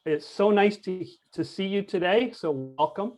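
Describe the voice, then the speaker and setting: A man hosting a church discussion as a loose, conversational interview, speaking in a halting, informal way. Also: high voice, expressive, a little mellow.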